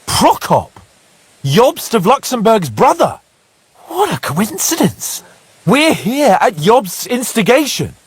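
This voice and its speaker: An actor playing an outspoken, skeptical young nobleman in a game, quick to question. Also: very high-pitched, sing-song, breathy edge, no echo, heavily acted.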